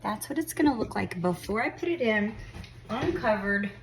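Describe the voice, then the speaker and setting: A woman's voice: mid-range voice, lively intonation, moderate pace, a little hiss or hum. Chatty congested woman baking at home. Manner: chatty, self-deprecating, reassuring. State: congested, sniffling. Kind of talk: casual baking tutorial talk. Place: home kitchen.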